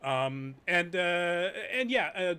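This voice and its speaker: A man's voice: sits high, lively intonation, very slow, sharp tone, smooth. A man hosting a live chat show, upbeat and often laughing as he runs through the news. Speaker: host.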